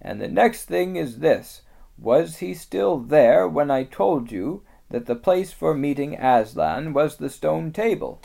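A reader voicing a stern, wary male beaver who speaks with grave urgency, higher and louder than the narration. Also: very animated, slow, vocal fry, heavily acted. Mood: urgent. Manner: urgent, emphatic storybook delivery.

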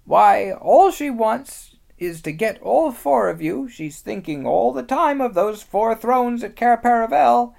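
A reader voicing a practical, motherly female beaver, speaking in a high, bustling, brisk way. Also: expressive, gravelly, darkish tone. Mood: alarmed.

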